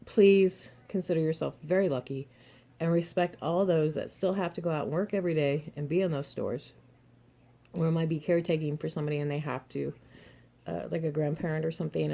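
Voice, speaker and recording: A young adult woman, a little hoarse and throaty, chatting frankly to her viewers with advice, laughing often and cursing mildly. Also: low voice, dark tone. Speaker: vlogger, single mother and reseller. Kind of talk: casual advice monologue to camera.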